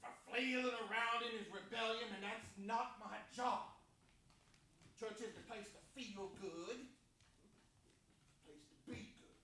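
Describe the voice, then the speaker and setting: A man playing a stern, self-righteous church pastor in a staged reading, speaking in an emphatic, deliberate, preachy way. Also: high voice, pushed and bright, full character voice. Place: large echoey room, live staged reading. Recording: echoey hall, distant mic, faint noise.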